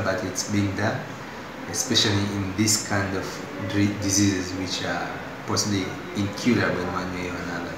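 Young Tanzanian man, lowish voice, unscripted patient testimonial, hesitant, recorded on a phone in a very echoey hospital room with noise behind. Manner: halting, with restarts and fillers.

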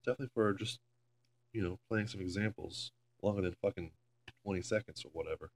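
A man's voice: sits low, quick pace. A man chatting casually and rambling, with swearing, in a homemade vlog recorded on a phone in a carpeted room. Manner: foul-mouthed, rambling. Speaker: amateur video maker. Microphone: handheld phone camera. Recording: home phone recording with loud hum.

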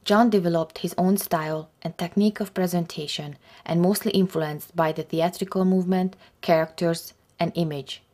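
A woman reading scripted documentary narration in a measured, even way. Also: on the low side.

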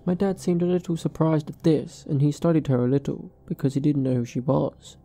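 Female horror narrator, deeply mellow timbre, measured scripted read. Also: fairly even intonation. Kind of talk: scripted first-person narration.

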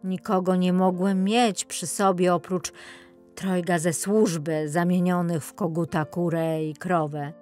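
A woman's voice: lowish voice, fairly even intonation, unhurried, darkish tone, soft background music, natural voice. A woman voicing a noble young prince in a children's fairy tale, speaking in an earnest, declarative way. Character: noble young prince freed from a witch's spell.

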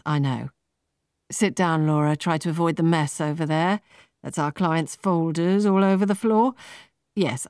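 A woman's voice: sits low, a little mellow, dry recording, clean studio sound, natural voice. Female managing director in a novel, brusque, formal, self-important, voiced by a woman narrator. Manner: brisk, condescending, formal.

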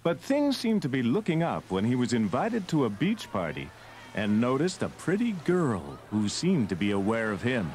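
A man's voice: medium pitch, wildly swooping pitch, moderate pace, slight background noise. A man reading scripted narration for a read-along children's story. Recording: narration from an old cassette. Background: loud music.

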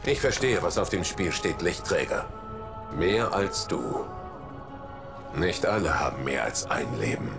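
An actor playing a brash, proud young guardian of a futuristic city in a dramatic video game cutscene. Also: male voice, low voice, very animated, measured pace, exaggerated voice. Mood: guarded, defiant. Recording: music behind the voice. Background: music.

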